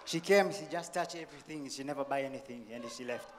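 A man's voice: medium pitch, fast talker, long reverb tail. A man in a church congregation giving short, deferential answers. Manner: short replies, "Yes, Papa". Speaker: congregant receiving prophecy.

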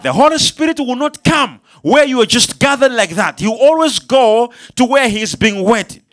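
Male preacher, very high and bright voice, calls out to the congregation and builds to shouts. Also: animated, normal speed. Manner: call-and-response, rising to shouts. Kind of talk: sermon.

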